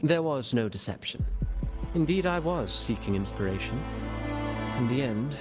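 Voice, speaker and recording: A man's voice: medium pitch, lively intonation, moderate pace, over music, lightly acted. A man playing a courteous, taunting schemer in a fantasy game, florid and mock-deferential. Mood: sly, taunting. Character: theatrical, sly, courteous schemer with a taunting edge. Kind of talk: game voice acting.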